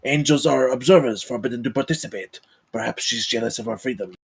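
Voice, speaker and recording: A man playing a gravelly, commanding count. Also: medium pitch. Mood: grave, ominous. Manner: formal, measured, solemn. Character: commanding, grave, courtly count leading a supernatural hunt. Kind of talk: dramatic screenplay table read.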